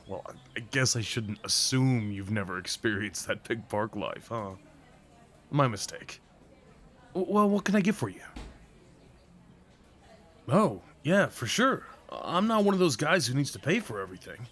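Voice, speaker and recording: A man's voice: medium pitch, very animated, measured pace, pauses often, fairly bright tone. A young man acting a shy, sweet, apologetic date in an ASMR roleplay, talking hesitantly to the listener. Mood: flustered, apologetic. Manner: hesitant, apologetic, rambling, sighs and nervous chuckles.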